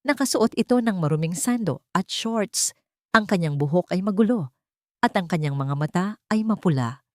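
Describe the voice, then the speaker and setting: A woman's voice: lowish voice, expressive, normal speed, darkish tone, no room sound, clean studio sound. A woman narrating a scripted dramatic story.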